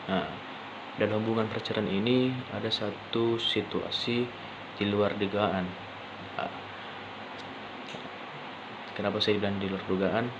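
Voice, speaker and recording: A young man giving an informal student presentation, speaking haltingly with frequent pauses and rephrasing. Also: fairly low pitch, steady tone. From Indonesia. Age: young adult. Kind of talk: informal spoken presentation for a class assignment. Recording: dull lo-fi sound with clear background noise.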